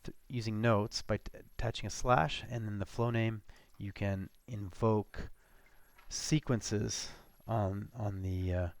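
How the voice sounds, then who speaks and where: A man presenting a software demo as an informal screencast walkthrough, talking casually and haltingly as he thinks aloud. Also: fairly low pitch, fairly even intonation.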